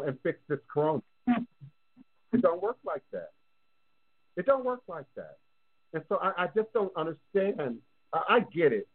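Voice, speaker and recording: A man's voice: mid-range voice, husky. A man with a New Orleans accent, hosting a live call-in talk show as a voodoo priest, speaking emphatically and repeating phrases for stress. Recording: lo-fi phone-line sound.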